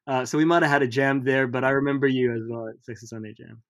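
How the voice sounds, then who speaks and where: A man in his thirties, a guest musician whose first language is Tagalog, talking in a relaxed, hesitant way with many pauses. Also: medium pitch. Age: 30s. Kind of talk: casual interview chat.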